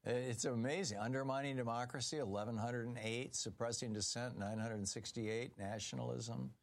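A man's voice: mid-range voice, moderate pace. A man hosting a talk radio call-in show, taking calls and reading items aloud with emphasis. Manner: lively, reads lists aloud with emphasis.

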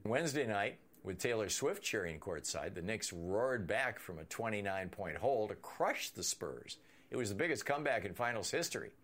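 A man's voice: on the low side, very animated, moderate pace, fairly bright tone. A man hosting a political talk radio show, delivering punchy, emphatic commentary and taking calls. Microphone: studio microphone.

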